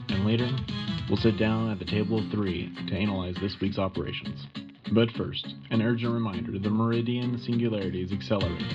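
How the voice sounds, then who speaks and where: Male actor as urgent propaganda news anchor, rousing and emphatic, low voice, slightly dull audio over loud music. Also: natural voice. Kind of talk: dramatic wartime radio bulletin. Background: loud guitar music.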